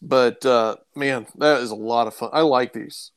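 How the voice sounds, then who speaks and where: A man's voice: mid-range voice, a little slow, clear, resonant tone. A man co-hosting a podcast in casual conversation. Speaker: podcast co-host. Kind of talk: casual chat.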